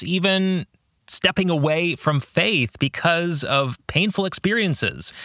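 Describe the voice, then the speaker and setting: A man's voice: a little high, sharp tone, dry recording, clean studio sound. A man hosting a podcast, speaking in an earnest, reflective way and often putting rhetorical questions to the listener. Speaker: podcast host. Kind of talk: reflective book discussion addressed to listeners.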